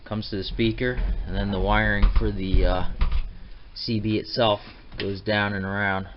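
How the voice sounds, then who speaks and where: Low-voiced man narrating a hands-on build. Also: level tone, moderate pace. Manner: plainspoken, informal, addressing viewers as guys. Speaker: DIY truck restorer hosting his build videos. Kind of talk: casual hands-on how-to narration. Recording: dull lo-fi camera audio, light noise.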